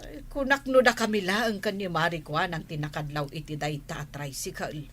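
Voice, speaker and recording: An actress playing a bossy, scolding village wife in a radio comedy, with an Ilocano Filipino accent. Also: female voice, fairly low pitch, moderate pace, a bit bright. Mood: nagging.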